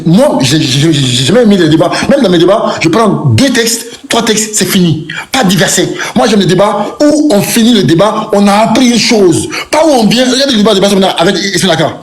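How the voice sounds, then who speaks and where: A man arguing combatively in a live online debate, mocking his opponent and refusing to yield the floor. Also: high voice. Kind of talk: heated live-stream debate. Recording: echoey room.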